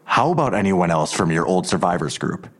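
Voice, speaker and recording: An actor playing a menacing, suspicious police detective in an audio drama, pressing with pointed, accusatory questions. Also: male voice, sits low, very animated, brisk, a bit bright, exaggerated voice.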